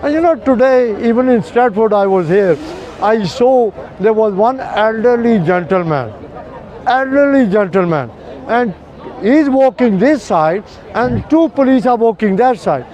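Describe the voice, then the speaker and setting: A man's voice: high voice, expressive, a little slow, darkish tone, highs cut off, some chatter behind. An older man talking earnestly with a passer-by on a city street, explaining his views with many fillers. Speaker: street preacher. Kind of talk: street conversation. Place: busy city street outdoors.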